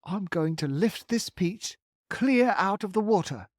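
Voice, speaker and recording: Young boy hero, calm and thoughtful, slow, dry studio sound; reader's near-own voice. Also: mid-range voice, dry recording, voice pushed up. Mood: firm. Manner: hesitant then firm.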